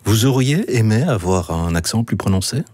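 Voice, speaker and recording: A male radio host asks engaged questions in a low, husky voice. The recording is close and dry, with no room echo. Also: lively intonation, moderate pace, darkish tone. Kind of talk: radio interview.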